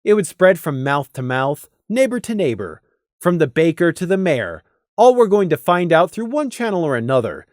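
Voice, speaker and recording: A man's voice: fairly high pitch, very animated, normal speed, a little mellow, no room sound, studio quality. A man narrating a scripted fantasy story dramatically, as an audiobook narrator.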